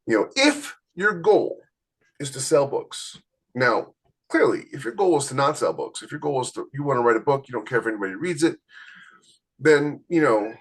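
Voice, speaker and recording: A man's voice: medium pitch, very animated, normal speed. A man chatting casually as a podcast co-host, giving writing advice in a blunt, emphatic, opinionated way.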